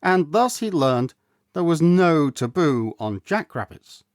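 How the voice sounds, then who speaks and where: A man reading a literary story aloud, with measured, deliberate phrasing and dramatic emphasis. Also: a little high, very animated, dry recording, clean studio sound. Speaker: storyteller, audiobook reader. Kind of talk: scripted literary narration, storytelling.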